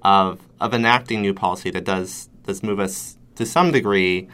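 A man hosting a podcast, with a bright, forward tone and a fairly low pitch. He asks his questions in an inquisitive way, pausing and repeating words as he works through them. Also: fairly even intonation. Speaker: podcast host.

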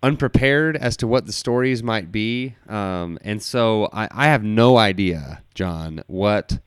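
A man's voice: lowish voice, smooth, dry recording, studio quality. A man hosting a podcast, chatting casually with a guest in a jokey, crudely humorous way. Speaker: podcast host. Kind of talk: casual interview chat.

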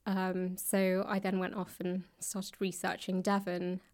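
Woman guest with an even, level pitch. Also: measured pace. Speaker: guest author and actor. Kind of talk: conversational podcast interview answers. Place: library room.

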